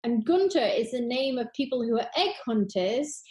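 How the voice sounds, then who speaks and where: A woman's voice: a little high, lively intonation, moderate pace, a little mellow. A woman chatting casually on a panel, rambling and gushing with enthusiasm. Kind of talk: casual chat. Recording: roomy, echoey sound.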